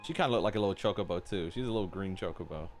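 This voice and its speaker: A man's voice: low voice, very fast. A man giving casual, slangy, chatty commentary as he streams a game, with music faintly behind him. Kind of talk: casual reaction commentary while gaming.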